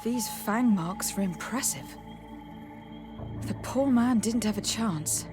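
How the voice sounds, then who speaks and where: An actress voicing a composed, diplomatic noblewoman investigator in a video game, speaking in a measured, formal way. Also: on the high side. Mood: thoughtful, grave. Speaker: game character voice actor. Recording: background music behind the voice.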